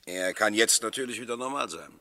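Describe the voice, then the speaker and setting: A professor on the witness stand in an old German radio play. His deep, very sharp-edged voice rings in a long reverb. He answers formally, with curt assurance. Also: expressive, brisk. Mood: self-assured. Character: male professor, self-assured expert witness in court. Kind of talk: 1960s German radio drama acting, testimony. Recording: echoey courtroom-like hall with long reverb.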